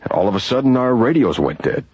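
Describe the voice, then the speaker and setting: A man playing a plain-spoken, steady space captain in a radio drama, laconic and husky. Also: mid-range voice, fast. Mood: shaken, recounting. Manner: laconic, down-to-earth. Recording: dull radio recording, faint music.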